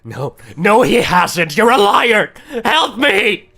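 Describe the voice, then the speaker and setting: A man voicing a hysterical grieving mother in a visual novel, shrill, desperate and pleading. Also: very animated, slow, gravelly, pushed and bright, exaggerated voice. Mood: frantic pleading. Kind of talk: dramatic visual novel character acting.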